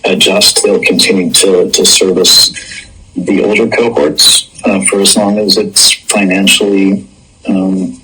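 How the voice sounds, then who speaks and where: A man speaking in a measured, hesitant way in an interview clip. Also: deep voice, little pitch movement, sharp tone, slightly rough, soft background music, rough recording.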